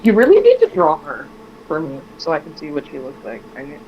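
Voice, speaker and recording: Female tabletop player, low pitch, casual game chat, muffled lo-fi sound with slight noise. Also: huge pitch swings, a little fast. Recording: dull lo-fi mic, faint noise.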